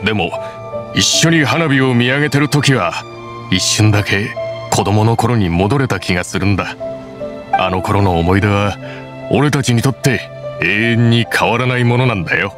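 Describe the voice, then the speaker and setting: A man's voice: on the low side, normal speed, close to an everyday voice. A man voicing a friendly young boatbuilder in a game, modest and reassuring in manner. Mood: easygoing. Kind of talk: video game voice acting. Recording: game audio with music.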